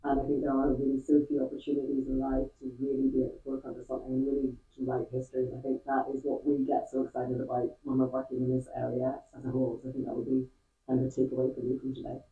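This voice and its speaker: A woman's voice: rumbling low, completely flat delivery, very fast, phone-quality sound. A middle-aged woman answering interview questions in an earnest, explanatory way. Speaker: interviewee, company employee. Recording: echoey room, distant mic, band-limited sound.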